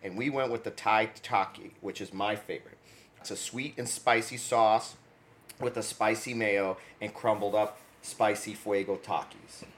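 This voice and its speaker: A man's voice: lowish voice, moderate pace. A young man from New co-hosting a food podcast, chatting casually, telling stories animatedly and laughing often. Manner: animated storyteller, laughs often, gets loud when excited. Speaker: podcast co-host. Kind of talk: casual chat.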